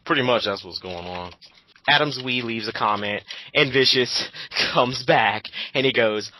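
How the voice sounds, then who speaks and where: A man's voice: mid-range voice, measured pace, pushed and bright, slight background noise. A young man giving casual, sarcastic commentary on a voice call with friends. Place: voice call, close dry sound.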